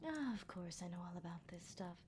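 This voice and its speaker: A woman's voice: on the low side, animated, fairly quick, a little mellow, slight background noise, close to an everyday voice. Whispery, teasing vampire captor played by a woman. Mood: teasing, lecturing. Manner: whispery, leisurely, playfully taunting. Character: teasing, menacing vampire captor, formerly a doctor. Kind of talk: ASMR roleplay, close and intimate.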